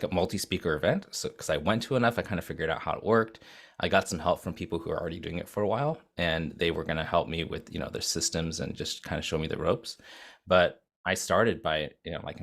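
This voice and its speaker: A man's voice: low-pitched, fairly bright tone. A man from Japan who speaks with an American accent, telling his story as an interview guest in a rambling way with many fillers and restarts. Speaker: guest, video agency founder.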